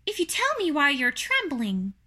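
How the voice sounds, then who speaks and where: Storybook role: woman plays newborn girl spider, very high tiny voice, bright, chirpy and eager. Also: huge pitch swings, normal speed, heavily acted. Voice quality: small, thin tiny voice. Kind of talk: children's storybook character reading.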